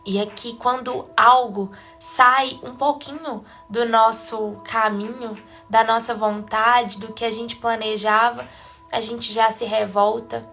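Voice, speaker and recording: A woman with a Brazilian accent and a congested cold leads a casual live devotional, chatty with soft laughs between phrases. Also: on the high side, fairly even intonation, slow, creaky, faint music behind. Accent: Brazilian Portuguese. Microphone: phone or webcam livestream.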